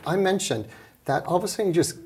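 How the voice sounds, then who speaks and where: A man hosting a studio interview show, introducing his guest and asking questions in a lively, playful way. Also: high voice, fast talker, slightly rough. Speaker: talk show host.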